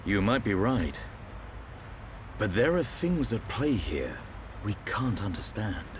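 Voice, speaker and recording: Lowish-voiced, thoughtful male companion. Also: sing-song, takes it slowly, noisy background. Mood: pensive. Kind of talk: scripted fantasy game drama.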